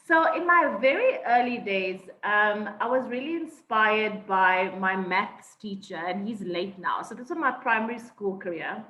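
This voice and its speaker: A woman's voice: medium pitch, expressive, a little slow, few pauses, pushed and bright. A South African woman telling her life story in an interview, thoughtful, with many ums and restarts. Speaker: guest. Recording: echoey, dull lo-fi sound.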